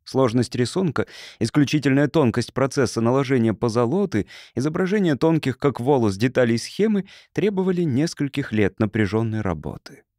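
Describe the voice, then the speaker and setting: A man reads literary fiction as an audiobook narrator. His pitch is medium and the recording is dry, with very little room sound. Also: expressive, normal speed, hardly any pauses, a little mellow, no echo.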